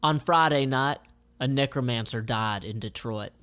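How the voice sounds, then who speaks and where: A man's voice: medium pitch, normal speed, fairly bright tone, clear, resonant tone, natural voice. Man acting solemn doomed magician, dramatic delivery. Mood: grim, solemn. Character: doomed magician narrating a grim noir monologue. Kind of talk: dramatic comic-book monologue.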